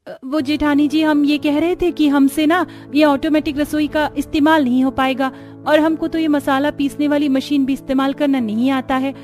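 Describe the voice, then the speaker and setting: A woman's voice: sits high, little pitch movement, moderate pace, darkish tone, faint music behind, natural voice. A woman voicing a meek, respectful young village daughter-in-law in a cartoon, polite and hesitant with rustic phrasing.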